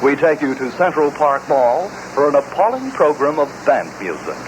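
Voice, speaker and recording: A man's voice: fairly high pitch, sing-song, normal speed. Male old-time radio newsreader, noisy broadcast. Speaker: radio news announcer. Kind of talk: vintage radio news reading.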